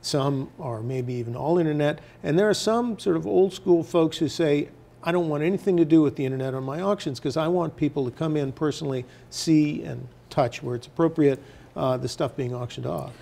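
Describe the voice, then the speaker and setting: Older man, mellow gravelly voice. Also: fairly high pitch, a little fast. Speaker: newspaper editor as interview guest. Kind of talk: casual studio interview. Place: TV news studio, indoors at a desk.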